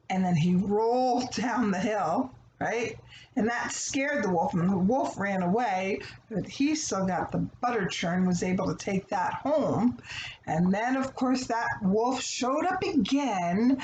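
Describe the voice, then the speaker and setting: A woman's voice: medium pitch, darkish tone, lo-fi sound. A woman giving a conversational children's story lesson in a didactic way, putting questions to listeners and answering them herself. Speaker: storybook read-aloud host and teacher. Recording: echoey room with faint background noise.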